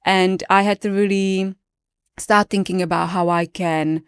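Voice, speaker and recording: Czech lady, late 30s, smooth voice, dry close mic, talks slow and reflective about her past. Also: medium pitch, fairly even intonation, no room sound. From Czech Republic. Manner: reflective, earnest, with frequent restarts and fillers. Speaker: podcast guest, yoga teacher. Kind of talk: casual chat.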